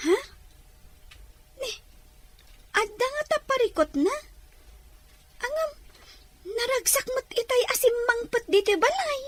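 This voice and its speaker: Woman doing a sweet inquisitive young girl, super high voice, radio drama style. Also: expressive, unhurried, long pauses, dry recording. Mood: curious, concerned. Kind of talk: Ilocano audio drama acting.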